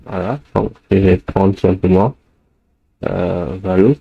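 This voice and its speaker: Man with the flu, deep and slow, hesitant coding tutor with a dry close mic. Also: creaky, breathy edge, no room sound, dull audio. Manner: hesitant, many fillers, thinks aloud while typing. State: has the flu. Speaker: programming tutor. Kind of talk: screencast coding lesson.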